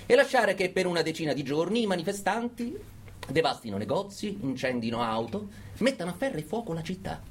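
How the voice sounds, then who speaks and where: An actor playing a fanatical political orator in a theatrical radio monologue, emphatic and full of dramatic pauses. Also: male voice, high-pitched, very animated, a little hiss or hum. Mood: measured, commanding. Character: fanatical male political orator urging brutal repression.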